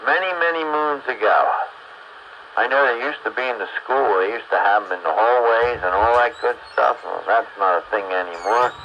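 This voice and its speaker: Man, fairly low voice, casual radio chat, muffled sound, slight noise. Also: normal speed. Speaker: radio show participant. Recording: muffled, faintly noisy radio transmission.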